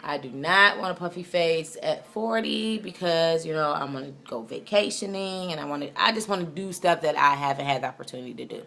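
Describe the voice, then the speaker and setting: A woman in her late thirties with a low-pitched voice, speaking as a video blogger. She rambles in a candid, conversational way, reflecting on herself as she goes. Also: a little fast, gravelly, hardly any pauses, sharp tone. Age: late 30s. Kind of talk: casual personal vlog, rambling chat.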